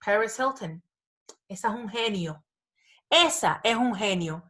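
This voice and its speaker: A woman with a mid-pitched voice chats casually as a podcast host. She gushes emphatically in slangy, informal language, often repeating herself to drive a point home. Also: expressive, moderate pace, a bit bright. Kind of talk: casual pop culture chat, ranting.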